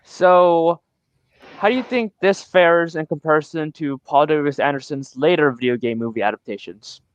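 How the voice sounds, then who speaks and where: A man co-hosting a laid-back podcast, easygoing and quick to laugh. His voice is smooth and a bit high. The audio is dry and slightly dull. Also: dry recording. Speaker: podcast co-host. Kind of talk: casual podcast chat.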